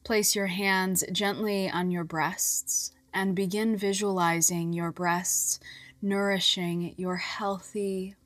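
Very slow, soft-voiced woman guiding relaxation. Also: mid-range voice, steady tone, no room sound. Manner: soothing, measured, with long pauses. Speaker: guided meditation narrator. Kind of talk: scripted hypnobirthing relaxation guidance. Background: music.

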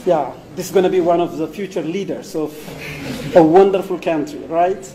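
A man's voice: fairly high pitch, moderate pace, warm tone, echoey room. A male teacher calling on students one by one in a classroom, encouraging them and thanking each in turn, filming on a handheld phone. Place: crowded indoor classroom full of students. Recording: noisy crowded classroom.

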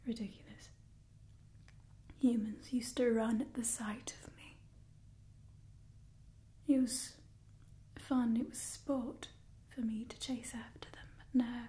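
A young woman performs an old, cold vampire matriarch in an ASMR roleplay. Her whisper is highish, mellow and breathy, delivered slowly and deliberately. She sounds haughty, theatrical and disdainful, a bitter clan leader weary of humans. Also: fairly even intonation. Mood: indignant, bitter. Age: young adult.

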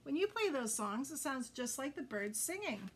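A woman's voice: high voice, sing-song, moderate pace, a bit bright. A woman voicing an easygoing, supportive male mongoose friend in a storybook, emphatic and encouraging. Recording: faint background noise.

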